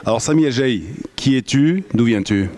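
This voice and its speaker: A man's voice: medium pitch, lively intonation, normal speed, a little mellow, slight background noise. Joking radio host man. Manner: prompting, jokey, repeats words. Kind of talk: casual interview.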